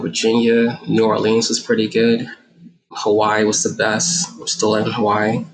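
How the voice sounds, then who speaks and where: A man in his thirties from Guyana, a podcast guest talking in a relaxed, reflective way with many fillers. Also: on the low side, poor audio. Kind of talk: casual interview talk.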